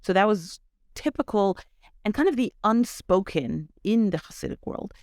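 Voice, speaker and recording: A middle-aged woman with a North American accent talks as an interview guest. Her pitch is medium and the recording is very dry. She gives a conversational, personal account. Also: lively intonation, normal speed, darkish tone, no echo. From Canada. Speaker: interview guest, education advocate.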